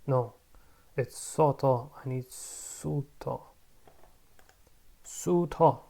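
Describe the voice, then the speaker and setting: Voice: medium pitch, very slow, vocal fry. A language learner in a casual vlog, repeating words over and over, correcting themself and muttering. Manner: muttering to self, bursts of excitement. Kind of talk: casual pronunciation practice vlog.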